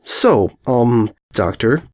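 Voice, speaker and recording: A man's voice: mid-range voice, expressive, drawn-out words. Give the fan fiction a man playing a young unicorn princess from a cartoon. She is bookish and polite, with a prim, slightly hesitant way of talking. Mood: awkward, weary. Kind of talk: fan fiction story reading.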